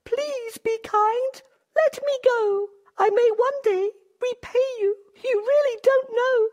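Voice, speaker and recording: A performer voicing a tiny, meek, polite female mouse in a children's fable, squeaky, earnest and pleading. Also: takes it slowly, a little mellow. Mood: apologetic pleading.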